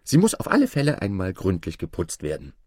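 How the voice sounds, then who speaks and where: A man's voice: sits low, huge pitch swings, a little fast, darkish tone, dry recording, clean studio sound, heavily acted. A man voicing a watchful, practical, doubting mother in a fairy tale, with a questioning delivery. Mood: sceptical.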